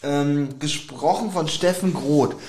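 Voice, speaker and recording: A man's voice: a little high, slow, darkish tone, slight background noise. Wry man in an echoey room. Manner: teasing, wry and ironic. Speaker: podcast co-host. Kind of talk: casual chat.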